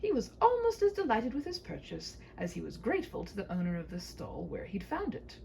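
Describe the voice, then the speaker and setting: A woman narrates a comic storybook tale with a lively, swooping delivery. Her tone is mellow with some vocal fry, and her phrasing is whimsical and mock-formal. There is faint noise in the home recording. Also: medium pitch, fairly quick, rough recording. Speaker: storyteller reading own short story. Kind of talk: humorous scripted storybook narration with wry asides.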